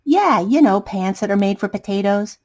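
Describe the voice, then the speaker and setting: A woman voicing an excitable, dramatic, panicky male potato who wails and exclaims, in a children's storybook reading. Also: medium pitch, moderate pace, close to an everyday voice. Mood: eager. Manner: wailing, exclaiming, melodramatic.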